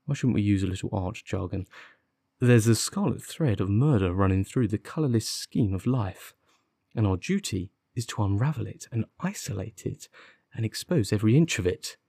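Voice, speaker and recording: A man voicing a confident, impatient gentleman detective, articulate and sardonic, in an audiobook. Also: fairly low pitch, expressive, normal speed, creaky, slightly rough, no room sound, studio quality, heavily acted. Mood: excited. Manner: articulate, commanding, witty. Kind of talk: audiobook character dialogue.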